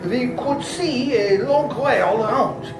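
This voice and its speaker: A man playing urgent, high-pitched vampire hunter. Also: slow. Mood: tense narration. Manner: dramatic, urgent, emphatic. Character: urgent, commanding male vampire hunter narrating the chase. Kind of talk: old-time radio drama acting. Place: live performance in a public library. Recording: roomy live stage, music behind.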